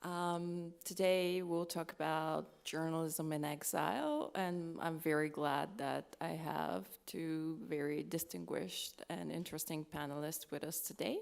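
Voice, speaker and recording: A woman from Bulgaria moderating a live panel, introducing it to an audience in a hesitant, halting way with many ums. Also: sits low, creaky.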